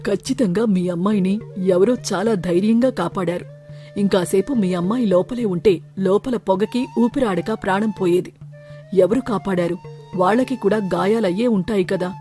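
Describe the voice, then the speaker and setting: A woman's voice: medium pitch, normal speed, warm tone, soft background music, close to an everyday voice. A woman voicing a principled male village doctor in a storybook tale, speaking in a pointed, reproving way. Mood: concerned. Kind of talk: storybook character acting.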